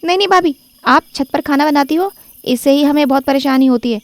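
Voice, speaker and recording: A woman's voice: a little fast, no echo, close to an everyday voice. Woman doing a whiny young sister-in-law. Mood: complaining. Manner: whiny, coaxing, high-pitched. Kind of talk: cartoon story voice acting.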